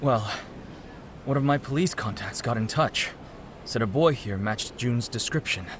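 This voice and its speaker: An actor playing an anxious, easily shaken middle-aged father in a game cutscene, speaking in a proper but halting, emotional way. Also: mid-range voice, background noise, natural voice. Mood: stern, upset. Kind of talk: dramatic game cutscene dubbing.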